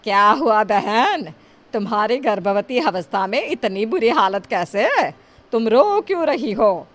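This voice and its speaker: A woman voicing a protective, motherly kinnar guardian in a Hindi cartoon, speaking firmly and deliberately. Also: on the high side, big pitch swings. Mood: concerned.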